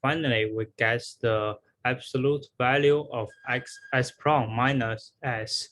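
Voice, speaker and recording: A man presenting research as a scripted academic talk, in a somewhat halting, explanatory way. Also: medium pitch, fairly even intonation, a bit bright. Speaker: researcher presenting a conference paper.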